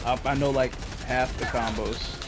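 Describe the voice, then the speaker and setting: A man's voice: medium pitch, fairly even intonation, normal speed. A young man teasing and mocking his friends during a game voice call. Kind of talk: casual gaming chat with friends.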